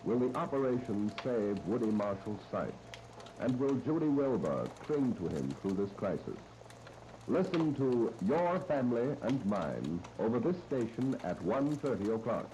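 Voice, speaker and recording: A man's voice: mid-range voice, very animated, measured pace, dark tone, a little hiss or hum, rough recording. An American man making scripted 1930s radio station announcements and programme promos. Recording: old 1939 radio disc recording.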